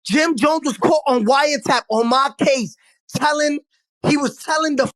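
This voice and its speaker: A woman's voice: high voice, moderate pace. A woman telling a heated street story, emphatic, accusing and repeating herself.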